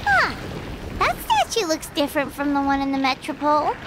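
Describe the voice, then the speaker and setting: An actress playing a tiny, excitable, sweet childlike fairy companion in a video game, with a cartoonish squeaky voice. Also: female voice, brisk, very noisy. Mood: surprised. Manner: squeaky, excitable, talks of self in third person.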